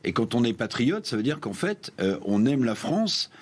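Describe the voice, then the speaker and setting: A man who is a journalist sitting as a panelist on a TV studio debate, giving measured, emphatic commentary. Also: medium pitch, very animated, husky.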